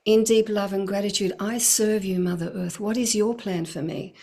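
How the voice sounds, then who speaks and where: A woman's voice: mid-range voice, steady tone, normal speed, mellow. A middle-aged woman speaking earnestly about spiritual healing work, with a flowing manner and frequent laughs. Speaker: spiritual teacher, guest. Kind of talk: casual spiritual talk.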